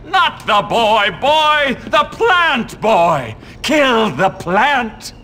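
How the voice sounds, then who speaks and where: An actor playing an eccentric old biology teacher in a video game, pompous, imperious and sinister. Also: male voice, extremely high pitch, sing-song, drawn-out words, sharp tone, a little hiss or hum, exaggerated voice. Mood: exasperated shouting. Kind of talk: video game cutscene acting.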